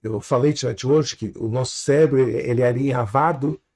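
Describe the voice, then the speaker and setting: A man in an interview explaining storytelling with examples, speaking Portuguese learned in southern Brazil with a foreign accent and an animated teaching manner. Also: mid-range voice, brisk. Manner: animated teacher, drops to quiet asides, tags lines with "tá?". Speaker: storytelling consultant, guest.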